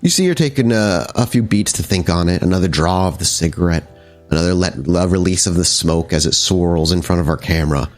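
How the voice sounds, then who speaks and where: A man's voice: low voice, fairly quick, faint music behind. A man working as game master, improvising descriptive, conversational narration for a tabletop roleplaying game.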